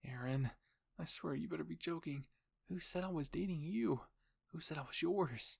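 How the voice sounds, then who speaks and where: A man's voice: medium pitch, unhurried, breathy edge. A man voicing an outspoken, easily flustered young woman in a story, emphatic and indignant.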